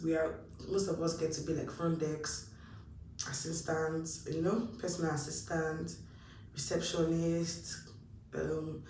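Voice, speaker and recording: A woman's voice: low-pitched, a little hiss or hum. Nigerian woman giving chatty advice, roomy echo. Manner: chatty and animated, acts out her own past thoughts. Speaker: vlogger. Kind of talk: casual advice talk to camera. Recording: roomy echo, mic a bit distant.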